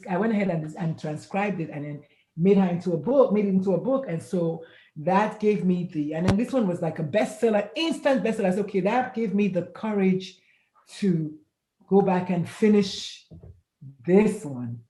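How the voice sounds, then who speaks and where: Woman in her fifties, lively storyteller, acts out quotes, middle pitch, echoey room. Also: normal speed. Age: 50s. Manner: animated storyteller, acts out quoted dialogue, laughs often. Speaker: author and pediatrician guest. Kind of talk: casual interview chat.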